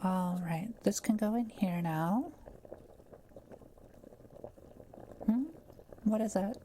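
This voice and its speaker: A woman playing a kind, quiet witch in an audio roleplay, speaking gently and carefully as she reassures and explains things to the listener. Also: medium pitch, unhurried, a little hiss or hum, lightly acted. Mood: apologetic, soft. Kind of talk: soft-spoken roleplay audio, talking to the listener.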